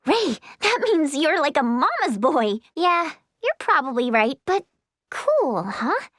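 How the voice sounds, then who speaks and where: A very high, animated voice of a cheerful teenage girl, inquisitive and quick to tease. She sounds like an excitable video game heroine with an eager scientific streak, recorded close and dry. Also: no echo, full character voice. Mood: musing. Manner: perky, animated, teasing, youthful. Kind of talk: video game character dialogue.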